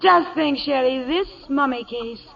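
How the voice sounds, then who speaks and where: High-voiced, gushing theatrical diva. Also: female voice, a little slow, creaky. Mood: melodramatic. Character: vain, gushing glamour actress, theatrical and self-dramatizing. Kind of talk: 1940s radio comedy acting. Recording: muffled old radio broadcast.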